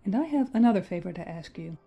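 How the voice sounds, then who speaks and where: A woman playing a composed young bride in an audiobook drama, speaking to a friend in a calm, earnest, entreating way. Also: lowish voice, fast talker, breathy edge, soft background music. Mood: quiet entreaty.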